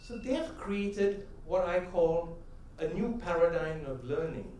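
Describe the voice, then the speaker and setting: A middle-aged man tells stories to a convention crowd in a slightly raised, mellow voice. He sounds set back from the mic, and his words ring through a big, echoey hall over a faint murmur of room sound. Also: fairly high pitch, expressive, unhurried, far from the mic, slight background noise. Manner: conversational storyteller, often tags "right?"; builds to rhythmic, emphatic punchlines. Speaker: convention speaker. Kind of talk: motivational conference talk with anecdotes.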